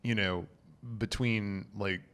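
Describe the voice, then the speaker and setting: A man's voice: lowish voice, fairly even intonation, slow pace, a bit bright, clean tone. A man around forty, a guest comedian in a casual podcast chat, rambling with many fillers and frequent laughs. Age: 40s.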